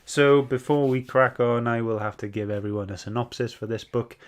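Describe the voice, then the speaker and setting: A man hosting a casual live-stream chat, speaking in a halting, hesitant way. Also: medium pitch. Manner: hesitant, halting when summarizing. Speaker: host of a comic book club show.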